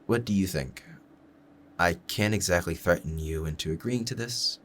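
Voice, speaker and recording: A man playing a lovestruck assassin in a roleplay audio drama, speaking to the listener in formal, measured, courteous phrasing with quiet menace. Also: low-pitched. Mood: courteous, persuasive.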